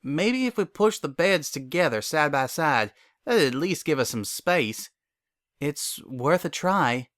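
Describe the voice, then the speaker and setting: A man's voice: a little high, animated, a little slow, a bit bright, no room sound, clean studio sound, pitched above the performer's own voice. A man voicing an anxious, bookish female unicorn in a dramatic story reading, weary and earnest. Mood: practical. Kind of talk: dramatic fan fiction reading.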